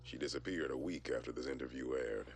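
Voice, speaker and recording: Very deep, gravelly military intelligence briefer. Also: male voice, fast talker, background noise. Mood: grim, matter-of-fact. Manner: clipped, matter-of-fact military slang. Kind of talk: scripted dossier briefing narration.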